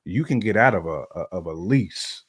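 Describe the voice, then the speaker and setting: A man with a low voice talks as a co-host in a casual, opinionated style. The sound is dry and close. He uses colloquial phrasing and repeats himself to drive a point home. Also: expressive, normal speed, breathy edge, no room sound. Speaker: talk show co-host.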